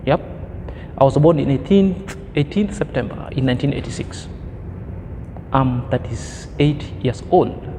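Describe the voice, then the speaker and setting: A man's voice: medium pitch, animated, unhurried, long pauses, mellow, long reverb tail, a little hiss or hum. A man in his thirties giving an opinion commentary as a vlogger, preachy and emphatic, fond of grand words. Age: 30s.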